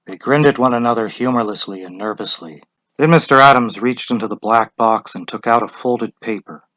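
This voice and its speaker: A man narrating a short story in a measured, expressive, suspenseful dramatic reading style. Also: mid-range voice.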